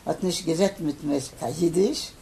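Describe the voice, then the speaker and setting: An older man who grew up in Poland, his first language Polish, answering interview questions haltingly with many pauses and fillers. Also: on the high side, mellow. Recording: faint background noise.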